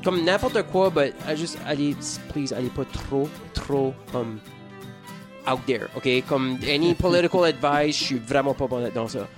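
A man's voice: medium pitch, unhurried, over music. A man with an Acadian French accent from rural New Brunswick hosts a casual podcast, joking and rambling, mixing English words into his French. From rural Acadian New Brunswick, Canada. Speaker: podcast host.

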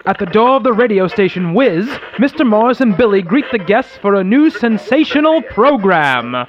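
A man's voice: sits high, sing-song, moderate pace, dull audio. A man hosting and narrating a radio play with dramatic scripted delivery. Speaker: podcast host and narrator.

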